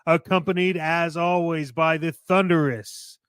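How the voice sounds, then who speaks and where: A man's voice: fairly high pitch, steady tone, measured pace, a bit bright, smooth, no echo, clean studio sound. A man hosting a podcast with theatrical, playfully self-promoting banter. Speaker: podcast host.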